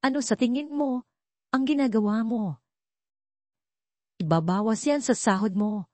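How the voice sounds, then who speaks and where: A woman's voice: fairly high pitch, measured pace, darkish tone, dry recording, pitched above the performer's own voice. A woman voicing an angry, bullying male diner manager who scolds and barks harsh orders in an audio story.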